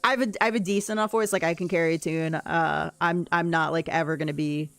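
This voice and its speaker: A woman with a deep voice, chatting casually and rambling while she plays a game on a livestream and answers her chat. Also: steady tone, fast, a bit bright, no room sound. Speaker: streamer. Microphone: desk mic, no camera.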